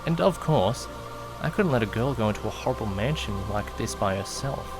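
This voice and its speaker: A man's voice: lowish voice, animated, a little fast. A man playing a nervous, well-meaning young man who narrates his own story in an audio drama, earnest and anxious. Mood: earnest, uneasy. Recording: loud music behind the voice. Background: background music.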